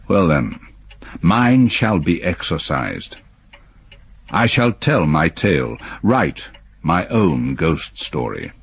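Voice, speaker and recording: An actor playing an older, haunted man who quietly apologizes and then broods over a ghost story he must tell. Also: low-pitched, husky, dry recording, exaggerated voice. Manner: subdued, apologetic, weary. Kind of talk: radio drama acting and narration.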